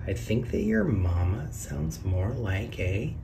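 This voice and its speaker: A man's voice: lowish voice, very animated, slow pace, creaky, recorded from a distance, noisy background. A man reading a children's picture book aloud in a sing-song way, lifting his voice on each question and reveal. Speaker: read-aloud narrator. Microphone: camera over the book, hands on pages.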